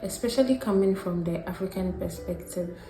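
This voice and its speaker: Low-pitched Ghanaian woman in a roomy space. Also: normal speed, mellow. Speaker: video essay host. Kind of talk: scripted reflective narration. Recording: roomy echo, music behind. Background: background music.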